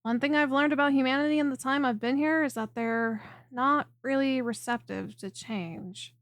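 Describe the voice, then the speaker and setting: A woman playing a friendly, clingy female lamia chiropractor in an ASMR roleplay, speaking in a soft, coaxing and teasing way directly to the listener. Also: sits high, steady tone, normal speed, no echo. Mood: wry, candid. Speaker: voice performer.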